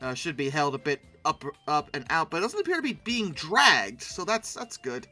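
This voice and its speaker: A host giving casual, humorous commentary on pictures, rambling through asides and breaking into animated, mock-outraged exclamations. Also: mid-range voice, normal speed, soft background music.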